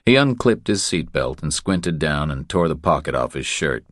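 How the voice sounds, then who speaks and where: A man's voice: rumbling low, big pitch swings, moderate pace, dry recording, studio quality. A man reading scripted narration from a thriller novel as an audiobook narrator.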